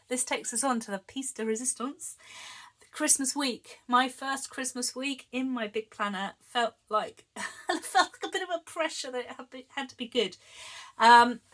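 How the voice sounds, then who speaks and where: Brit lady in her 50s showing off her planners, bit wheezy from a chest bug, chatty n giggly, fairly high voice. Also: bright, forceful tone. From United Kingdom. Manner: chatty, giggly, with many ums. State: slightly wheezy from a chest infection. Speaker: planner vlogger. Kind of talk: casual show-and-tell chat.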